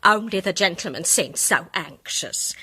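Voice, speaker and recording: An actress playing a confident, briskly superior amateur detective with a British accent, speaking in articulate, assured radio drama style. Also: female voice, lowish voice, expressive, sharp tone, slightly rough, exaggerated voice. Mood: amused, superior. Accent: British English. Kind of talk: radio drama acting, polished monologue.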